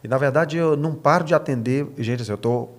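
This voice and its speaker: A man's voice: mid-range voice, quick pace. Brazilian man preaching conversationally, earnest and emphatic. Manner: earnest, emphatic, punctuates points with rhetorical questions and tag checks. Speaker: pastor, podcast guest. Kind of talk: conversational preaching with personal anecdotes.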